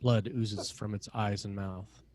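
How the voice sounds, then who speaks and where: A man narrating screenplay action and scene headings in a measured, dramatic way, in short phrases. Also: lowish voice, fairly even intonation, darkish tone, a little hiss or hum.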